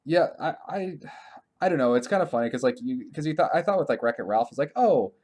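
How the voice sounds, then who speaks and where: A man co-hosting a podcast in casual conversation, informal and thinking out loud as he talks. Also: on the high side, lively intonation, fast. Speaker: podcast co-host. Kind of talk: casual podcast chat about games and films.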